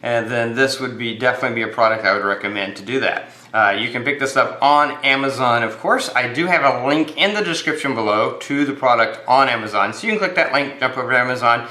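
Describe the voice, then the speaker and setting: A man's voice: medium pitch, quick pace, hardly any pauses, sharp tone, roomy sound. An American man giving a casual, unscripted product review, explaining things with hesitant phrasing and repeated words.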